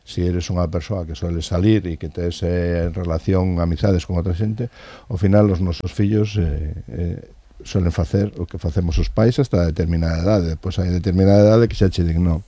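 A man's voice: sits low, steady tone, normal speed, warm tone, no room sound. A man with a Galician accent explaining things conversationally as an interview guest.

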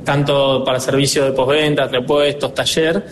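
Argentine man in his twenties, a dealership director interviewed on radio, mid-pitched voice, faint rumble behind. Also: little pitch movement, moderate pace, low-quality recording. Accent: Argentine Spanish. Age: 20s. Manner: earnest, upbeat, with many filler hesitations.

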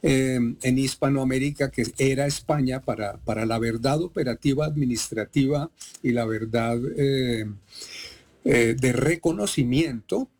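A man's voice: medium pitch, a little slow, a little mellow. A middle-aged man from Colombia with a Bogotá accent, a doctor explaining at length and with emphasis as an interview guest. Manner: lecturing, emphatic, digressive.

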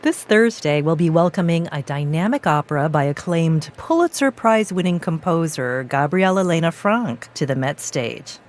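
A woman hosting a radio broadcast, poised and measured as she interviews and presents. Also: deep voice, animated.

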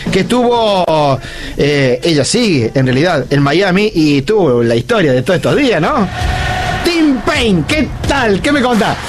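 Easygoing radio host. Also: male voice, high voice, unhurried. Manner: relaxed, easygoing, drawling. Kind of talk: casual radio chat.

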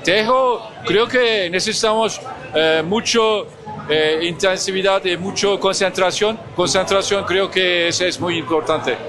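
A man with a Turkish accent answering interview questions in halting, non-native phrasing with many pauses. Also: high-pitched, over music. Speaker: ambassador guest. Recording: crowd noise behind.

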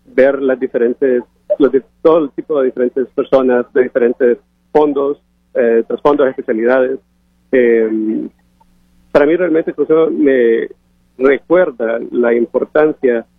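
A young man with a Honduran accent and a husky voice, answering an interview hesitantly, searching for words. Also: medium pitch, no echo. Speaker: interviewed master's student. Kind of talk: unscripted radio interview answer. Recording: phone-like radio broadcast sound.

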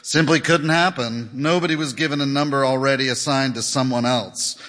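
A man's voice: medium pitch, normal speed, natural voice. A man reading the part of a bored, dismissive female customer service representative, in a reverberant hall. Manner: bored, flat.

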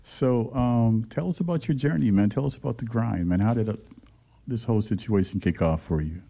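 A man's voice: lowish voice, brisk, gravelly, mellow, slight background noise. A man hosting a casual interview, easygoing and encouraging. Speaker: podcast host.

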